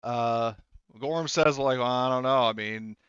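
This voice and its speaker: A man's voice: medium pitch, a little slow, fairly bright tone, smooth, no room sound, highs cut off. A man acting as game master of a tabletop roleplaying game, talking casually and hesitantly as he searches for words.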